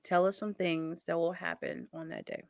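A woman's voice: on the low side, expressive, moderate pace, gravelly, dry recording, clean studio sound. A woman interviewing a guest in a casual, upbeat and enthusiastic way, often praising and agreeing.

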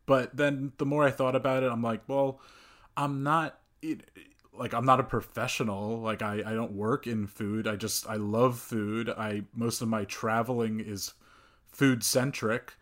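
Young male podcast host, relaxed conversational delivery with frequent laughs. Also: medium pitch, a little slow. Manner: chatty, laughs often, many restarts. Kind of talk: casual conversational interview.

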